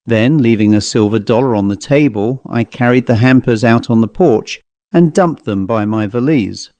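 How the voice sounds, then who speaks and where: A man reading a ghost story as an audiobook narrator, in a measured, dramatic storytelling manner. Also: medium pitch, dark tone, no echo.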